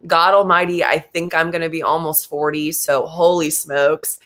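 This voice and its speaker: A man in his late twenties co-hosting a casual sports talk show, animated and quick in bursts, with many fillers. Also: a little high. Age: late 20s.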